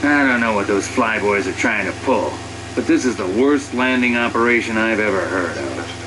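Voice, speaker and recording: Male actor as a grumbling tank sergeant for game cutscenes: lowish voice, sardonic, slangy, clear noise behind. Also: expressive, moderate pace, lightly acted. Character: wry, grumbling army tank commander sergeant. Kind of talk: scripted game cutscene narration.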